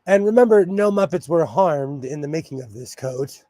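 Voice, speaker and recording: Hoarse, chatty man on a livestream. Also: on the high side, expressive, moderate pace, mellow, no room sound. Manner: chatty, apologetic, laughs often. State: slightly hoarse, losing his voice. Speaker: sewing livestream host. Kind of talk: casual livestream chat.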